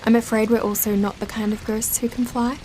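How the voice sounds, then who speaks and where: An actress playing a calm, enigmatic female ghost who reasons matter-of-factly in a scripted audio drama. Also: on the high side, a little fast, a little hiss or hum, lightly acted, poor audio.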